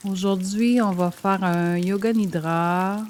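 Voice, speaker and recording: A woman guiding a yoga nidra meditation in a gentle, soothing, measured way, leaving pauses between phrases. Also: medium pitch, a little hiss or hum. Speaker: meditation guide. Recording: steady rain sound over the voice. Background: rain sound.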